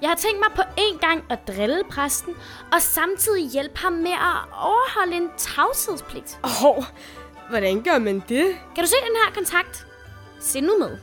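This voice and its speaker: A woman's voice: very high-pitched, sing-song, a bit bright, faint music behind, heavily acted. A woman voicing a young, mischievous pixie in a children's radio drama. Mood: playful, scheming. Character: young mischievous nisse pixies living inside a church wall.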